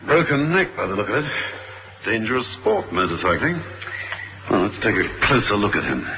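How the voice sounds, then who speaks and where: An actor with a British accent playing a suave, wry gentleman secret agent in a vintage radio drama, urbane and understated. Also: fairly low pitch, sing-song, moderate pace. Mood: dry, observant. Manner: urbane, understated, dryly teasing. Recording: noisy vintage radio recording.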